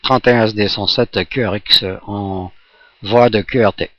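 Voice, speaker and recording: A man's voice: lowish voice, steady tone, a little slow. A man chatting casually as an amateur radio operator during a radio contact. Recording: roomy, muffled radio recording. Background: electric fan blowing nearby.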